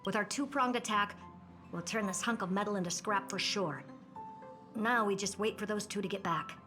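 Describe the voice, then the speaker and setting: A woman's voice: mid-range voice, normal speed, pushed and bright, soft background music, natural voice. An actress playing a confident woman genius in a video game, setting out plans in an assured, instructive way. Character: confident, brisk woman genius leading the plan. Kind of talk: game character acting.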